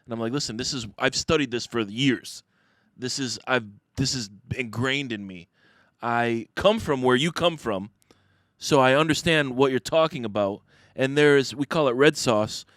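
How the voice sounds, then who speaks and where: A man's voice: medium pitch, normal speed, a bit bright, dry recording, studio quality. An American man from the Detroit area talking casually as an interview guest, emphatic and plain-spoken. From Detroit area, United States. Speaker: podcast guest, restaurateur.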